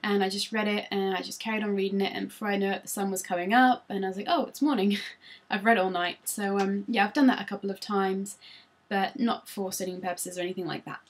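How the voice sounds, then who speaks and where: A woman's voice: medium pitch, fairly even intonation, fast talker. A woman, chatting casually through tag questions on her vlog with frequent ums, recorded on a laptop. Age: 20s. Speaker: vlogger.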